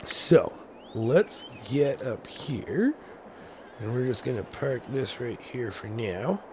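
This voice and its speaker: A man with a slightly raspy, congested voice, casually narrating a tour of his farm in a video game, often correcting himself and chuckling. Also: mid-range voice, very animated, takes it slowly, a little mellow, a little hiss or hum. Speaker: gaming channel host.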